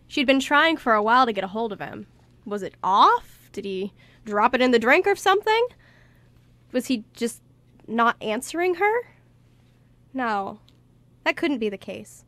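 A woman's voice: on the high side, a bit bright, no room sound. A woman reading scripted story narration for a radio play.

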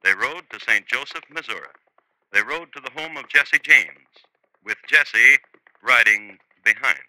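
A man narrating a 1950s radio drama in a dry, wry, deadpan storytelling manner. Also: medium pitch, unhurried, dry recording, low-quality recording.

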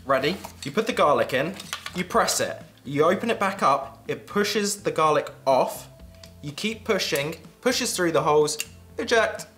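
A young British man co-hosting a casual kitchen gadget test, chatting with animated enthusiasm while he demonstrates. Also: a little high, a little slow, soft background music. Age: young adult. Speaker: co-host. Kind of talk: casual product-testing chat. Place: indoor home studio set at a table.

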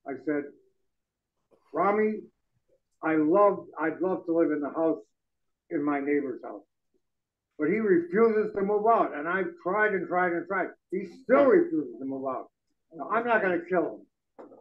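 A man's voice: a little high, animated, unhurried, pauses often, narrow, tinny audio. An older man speaking earnestly at an open-mic forum in a bar room. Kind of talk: public forum speech. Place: bar room with a small audience. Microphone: stand mic.

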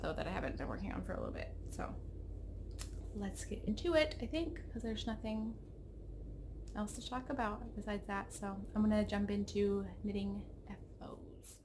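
A woman's voice: mid-range voice, noisy background. Chatty, rambling woman with a froggy throat. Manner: rambling, self-interrupting, chatty. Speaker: knitting podcast host. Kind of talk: casual chat. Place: home craft room with pegboard and window. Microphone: webcam at desk.

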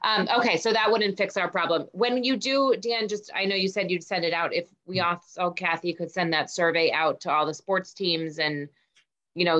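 Woman, board member, lowish voice, wry, dull call audio. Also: fairly bright tone. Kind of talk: casual meeting discussion.

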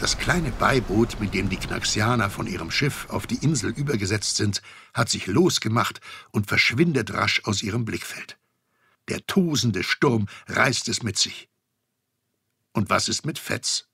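A man's voice: on the low side, lively intonation, measured pace, gravelly, pushed and bright, slightly rough, no echo, clean studio sound. A man narrating a scripted story for a children's audio drama.

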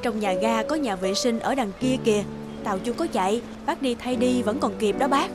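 A woman's voice: on the high side, brisk, close to an everyday voice. A woman playing a blunt, chatty busybody traveller in a cartoon, speaking in a fussy, forward way. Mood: helpful, nosy. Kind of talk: animated cartoon dialogue. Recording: loud music behind the voice.

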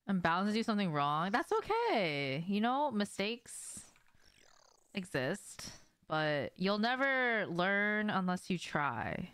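A woman's voice: mid-range voice, very animated, slow, a bit bright, clear, resonant tone, no echo. A young woman chatting casually as she streams a game, informal and prone to swearing, speaking to her viewers in a small room. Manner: informal, swearing, addressing viewers and reacting to gameplay. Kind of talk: casual livestream chat while gaming. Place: small indoor room at home.

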